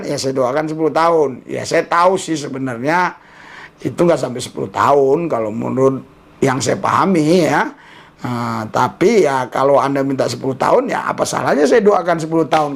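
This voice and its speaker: A man's voice: fairly high pitch, lively intonation, a little fast, vocal fry. A man gives casual commentary with a preaching bent, mocking and repeating himself for emphasis. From Indonesia.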